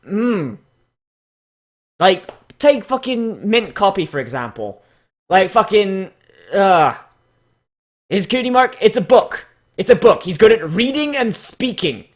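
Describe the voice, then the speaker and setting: A man's voice: sits high, very animated, slow, pauses often. A man ranting casually as the host of a reading show, rambling, swearing and exasperated with heavy emphasis.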